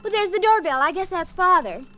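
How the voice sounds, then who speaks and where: A woman's voice: extremely high pitch, expressive, brisk, fairly bright tone. An actress playing a polite, eager teenage girl in a 1940s radio comedy. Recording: vintage 1940s radio broadcast.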